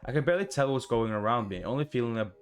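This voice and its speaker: A man's voice: mid-range voice, expressive, racing, soft background music, close to an everyday voice. A man reading as the shy, tired young narrator of a romantic visual novel. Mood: tender, flustered. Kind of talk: reading story text aloud.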